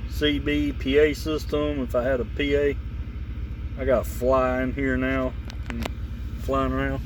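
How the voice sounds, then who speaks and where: A man's voice: mid-range voice, flat delivery, unhurried. A man giving a casual, rambling, folksy hands-on demo of gear, recorded on a camera mic in or beside a vehicle outdoors. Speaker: vlogger demonstrating radio gear. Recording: clear background noise, car and outdoor sounds.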